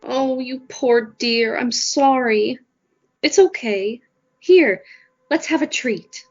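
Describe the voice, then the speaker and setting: A woman's voice: sits high, takes it slowly. A woman playing a sweetly menacing schoolteacher in a horror audio drama, cooing patronizing endearments. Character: sweetly menacing female schoolteacher, cloying and controlling. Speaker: actor. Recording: dull, echoey hall reverb over music.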